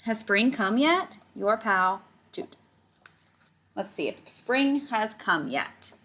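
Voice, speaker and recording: A woman's voice: on the high side, slow pace. Woman reading a picture book to children. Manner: animated storytelling, stretches words, chats directly to young listeners. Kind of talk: read-aloud to children with casual explaining asides.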